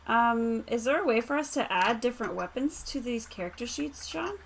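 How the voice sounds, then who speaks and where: A woman's voice: on the high side, lively intonation, normal speed, gravelly. A woman playing a tabletop roleplaying game, talking casually with the group. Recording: faint background noise.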